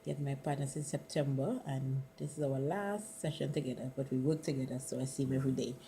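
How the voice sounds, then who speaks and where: A woman's voice: extremely low pitch, fast talker, dark tone, slight background noise. A middle-aged woman from the Caribbean, chatting casually and leaning on "you know" fillers as she interviews her partner. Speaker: student interviewer. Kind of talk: casual conversation.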